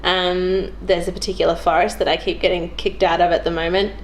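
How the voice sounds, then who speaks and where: A woman's voice: fairly low pitch, level tone, brisk. A young woman chatting casually about video games as a guest on a show. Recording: clearly noisy background.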